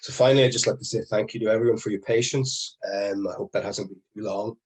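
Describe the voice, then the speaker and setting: A man giving an earnest online conference presentation, with halting restarts. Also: fairly low pitch, steady tone, a little mellow, husky. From Ireland. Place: home or office on a video call. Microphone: video call mic.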